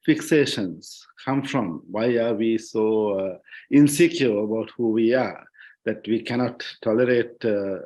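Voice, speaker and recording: A Sri Lankan man speaking thoughtfully on a discussion panel, reflective and halting as he searches for words and repeats phrases. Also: lowish voice, a little mellow, low-quality recording. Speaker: panelist, journalist and writer. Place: online discussion call.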